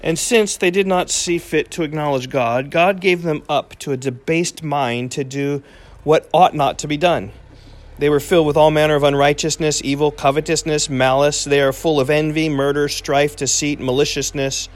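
A man's voice: a little high, moderate pace. A man leading a Bible reading with guests, reading passages aloud and adding short explanations. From English-speaking visitor to Nepal. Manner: steady reading, explains as he goes. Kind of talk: casual Bible reading with commentary.

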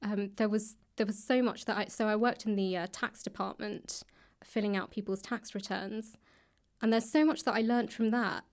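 A woman's voice: medium pitch, fairly even intonation, normal speed. A British woman talking casually as an interview guest. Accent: British English. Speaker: guest, author and actor. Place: indoors in a public library room.

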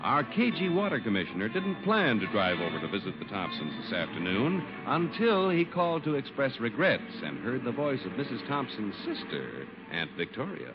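A man's voice: medium pitch, wildly swooping pitch, moderate pace, few pauses, music in the background. A man announcing and reading adverts in an emphatic, polished 1950s radio salesman style. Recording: muffled 1950s radio recording.

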